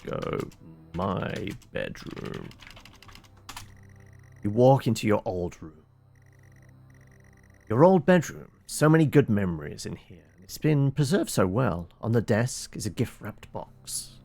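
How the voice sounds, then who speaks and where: A man with a fairly low, gravelly voice streams a text game. He swings widely in pitch as he reads aloud with drama, then drops into halting mutters and casual asides. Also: sing-song, a little mellow. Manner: halting, muttering typed commands, dramatic when reading aloud.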